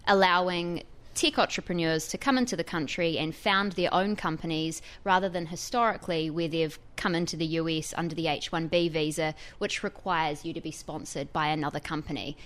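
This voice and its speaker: A woman with a New Zealand accent, a tech reporter explaining her story as a guest in a radio interview, fluent and lively. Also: deep voice, fairly quick.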